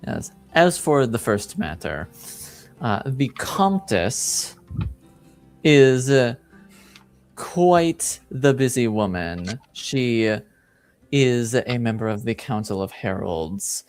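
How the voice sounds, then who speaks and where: Man playing a slow-spoken, haughty duke. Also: mid-range voice, big pitch swings, faint music behind. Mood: dismissive, haughty. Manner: formal, deliberate, skeptical. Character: haughty, shrewd, dismissive male duke.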